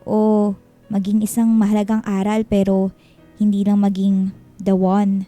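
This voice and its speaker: An actress playing a frank but caring married best friend giving advice in a radio drama. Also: female voice, mid-range voice, completely flat delivery, unhurried, warm tone, faint music behind, lightly acted. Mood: earnest advising. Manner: candid, consoling, advising. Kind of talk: Filipino radio drama acting.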